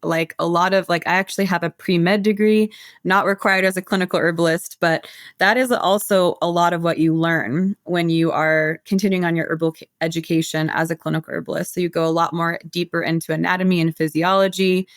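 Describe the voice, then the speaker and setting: Earnest American woman herbalist, lower register, close dry mic. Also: steady tone, a little fast, no room sound. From United States. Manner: earnest, flowing explanation with many "like" fillers. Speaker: herbalist guest. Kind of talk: casual podcast interview.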